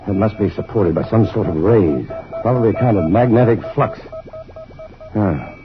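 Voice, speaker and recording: An actor playing an earnest scientist hero in an old radio drama, intent and urgent. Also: male voice, fairly low pitch, lively intonation, normal speed, over music, lightly acted. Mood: intent, hushed. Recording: muffled vintage radio.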